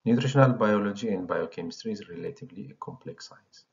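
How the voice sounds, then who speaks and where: Deep gravelly man lecturing over slides. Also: fast talker. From Ethiopia. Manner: reads slides in an even, formal lecturing tone. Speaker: channel founder and lecturer. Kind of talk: scripted slide presentation. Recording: dull lo-fi voice recording.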